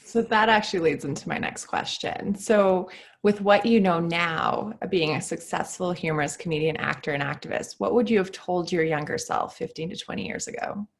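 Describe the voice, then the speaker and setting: A woman's voice: lowish voice, normal speed, gravelly. A woman interviewer reading out formal, prepared questions.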